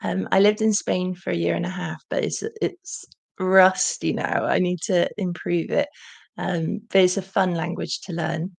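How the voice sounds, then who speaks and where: A young woman hosting a casual livestream Q&A, with a croaky voice and a chatty manner full of ums. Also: lowish voice, flat delivery, a little slow. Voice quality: croaky, gravelly. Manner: chatty, warm thanks, frequent ums. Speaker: channel host.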